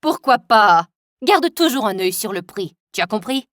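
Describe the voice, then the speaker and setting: A woman's voice: medium pitch, sing-song, normal speed, no room sound, clean studio sound. A woman doing a pushy, overbearing stage mother. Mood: insistent. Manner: insistent and fussy, swings from sugary to sharp. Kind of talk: dubbed animated storytelling.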